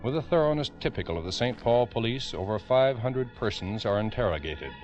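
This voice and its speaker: A man with an American accent narrating a 1950s radio true-crime drama as host, in a grave, emphatic, deliberate way. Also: medium pitch, expressive, darkish tone, soft background music. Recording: dull old radio recording.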